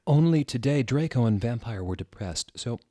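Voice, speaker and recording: A man's voice: mid-range voice, expressive, normal speed, dark tone, no echo, clean studio sound. A man hosting a dramatic comedic reading, theatrical and wry, with casual asides. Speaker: host reading fan fiction aloud.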